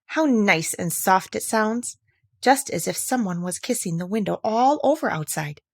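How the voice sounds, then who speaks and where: A woman voicing a chatty, imaginative little girl in a storybook, animated and mock-stern as she talks to her kitten. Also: medium pitch, normal speed, gravelly, no echo, clean studio sound. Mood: dreamy, tender. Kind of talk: storybook character voice.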